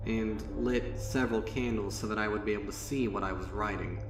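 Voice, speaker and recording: A man reading a horror story as a dramatic narrator, grave and deliberate, with pauses for effect. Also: on the low side, fairly even intonation. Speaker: fan fiction narrator. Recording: roomy, distant voice over music. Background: music.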